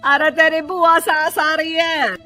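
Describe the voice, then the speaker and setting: A woman voicing a bossy, exasperated middle-aged mother-in-law with a loud, drawling, scolding manner in a comic story. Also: high-pitched, flat delivery, takes it slowly, soft background music, voice pushed up, full character voice.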